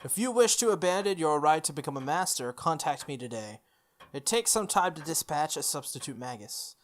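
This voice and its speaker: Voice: mid-range voice, expressive, brisk, lightly acted. A let's play host voicing a stern, terse priest who gives curt, formal orders in a visual novel. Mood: cold, commanding. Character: stern, clinical priest giving curt warnings.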